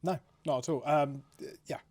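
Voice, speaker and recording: A man's voice: on the high side, steady tone, normal speed, darkish tone. A man speaking as a company representative, chatting casually about products in an interview.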